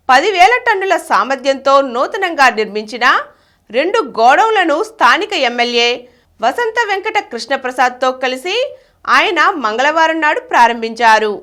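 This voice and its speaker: A woman's voice: high voice, a bit bright. A woman reading scripted news reports in a formal announcing manner. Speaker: news reader.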